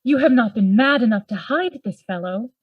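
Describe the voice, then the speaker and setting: An earnest, formal gentleman lawyer played by a woman in a gothic radio play. The voice is fairly high, with theatrical concern for a friend, on a slightly muffled recording. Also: animated, normal speed, slightly rough, no room sound. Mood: grave, urgent. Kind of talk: gothic radio drama acting.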